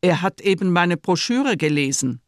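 A woman's voice: on the low side, expressive, normal speed, dry recording, studio quality. A woman playing a pious, cheerfully convinced, self-righteous middle-aged nursing sister in a radio drama. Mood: beaming conviction. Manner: beaming, serenely self-righteous.

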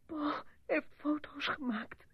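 An actress in a radio drama playing a shaken, distraught wife who speaks hesitantly and haltingly. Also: female voice, sits high, no room sound. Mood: horrified, tearful. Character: shaken, distraught wife recounting a gruesome holiday discovery. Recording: muffled radio play recording.

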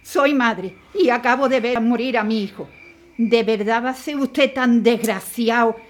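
Woman playing a high-voiced, desperate grieving mother. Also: a little slow, natural voice. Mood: desperate, defiant. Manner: reproachful, imploring. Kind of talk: audio drama dialogue.

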